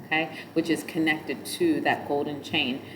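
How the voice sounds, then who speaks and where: A middle-aged woman with a nasal voice, teaching yoga in a relaxed, rambling way. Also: low-pitched, measured pace, noisy background. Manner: chants mantras in a nasal sung tone between explanations. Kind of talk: spoken yoga instruction.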